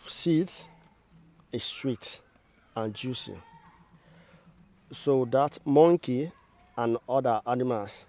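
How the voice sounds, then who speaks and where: A man's voice: medium pitch, a little mellow. A man giving step-by-step instruction as an agricultural trainer, in methodical, formal wording. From Sierra Leone.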